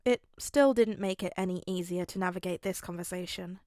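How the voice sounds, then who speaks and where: A woman's voice: on the low side, brisk, darkish tone, dry recording, clean studio sound. A woman reading scripted story narration in the style of an audiobook. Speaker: fan fiction narrator.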